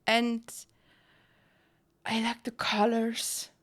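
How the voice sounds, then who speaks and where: Slow-paced, high-voiced excitable woman streamer. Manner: excitable, giggly. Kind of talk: casual livestream chat.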